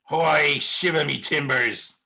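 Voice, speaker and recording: A performer voicing a salty, blustering cartoon bug who plays a fearsome pirate captain, gravelly and husky, in growling pirate dialect with theatrical menace. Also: mid-range voice, takes it slowly, pushed and bright, heavily acted. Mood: menacing, swaggering. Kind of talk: animation table read.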